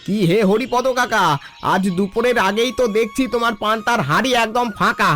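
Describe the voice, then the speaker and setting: A man's voice: very high-pitched, steady tone, brisk, bright, forceful tone, faint music behind. A man voicing a friendly, generous village shopkeeper in a cartoon story, hearty and encouraging.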